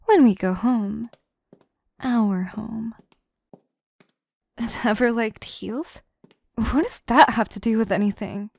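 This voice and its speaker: Casting: actress as possessive, menacing female yandere for audio drama; higher-pitched, very unhurried delivery, theatrical diction. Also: vocal fry, a little mellow, no echo, exaggerated voice. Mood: threatening. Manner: theatrical, formal phrasing, slow and deliberate, dropping into whispers. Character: obsessive, possessive yandere assistant woman, scheming and menacing. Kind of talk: scripted audio roleplay, intimate monologue to listener.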